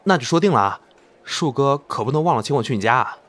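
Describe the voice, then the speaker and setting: A man's voice: mid-range voice, quick pace, lightly acted. A man acting an effusive, devoted young celebrity idol in a Chinese audio drama, boyishly clingy and gushing. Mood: eager, clingy. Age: young adult. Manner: gushing, pleading, swinging from excited to earnest.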